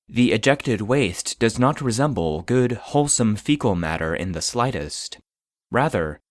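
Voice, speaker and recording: A man reading scripted narration in the style of a scientific report, formal and deadpan. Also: lowish voice, no room sound, studio quality.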